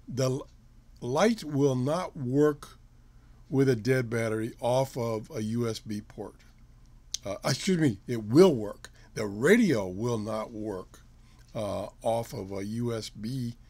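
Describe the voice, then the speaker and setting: A man's voice: medium pitch, a little mellow, no room sound. A middle-aged man hosting his home radio show, casually talking through test results with fillers and self-corrections. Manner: plain-spoken, rambling with fillers and self-corrections. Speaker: radio show host reviewing gear. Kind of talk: casual product review talk.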